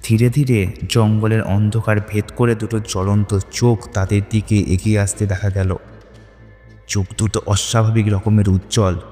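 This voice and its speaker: A man's voice: on the low side, flat delivery, moderate pace, warm tone, long reverb tail, soft background music. A man narrating a scripted horror story in a dramatic, suspenseful manner.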